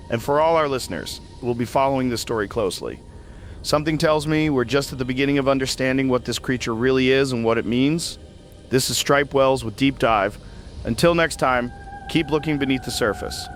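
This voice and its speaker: A man's voice: medium pitch, expressive, fairly quick, a little hiss or hum, close to an everyday voice. A man playing a curious, supportive podcast host with a casual, encouraging manner in an audio drama.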